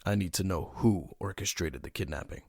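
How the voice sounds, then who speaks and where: A man playing a grim masked vigilante detective in an audio drama, terse and stern, with a gravelly, husky voice. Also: deep voice, a little fast, a little mellow, dry recording, studio quality. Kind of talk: audio drama narration and dialogue.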